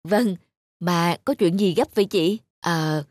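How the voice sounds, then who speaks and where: A woman's voice: lowish voice, takes it slowly, darkish tone, no room sound. A woman playing a patient, self-sacrificing middle-aged village mother in a cartoon. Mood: hesitant. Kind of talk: cartoon voice acting.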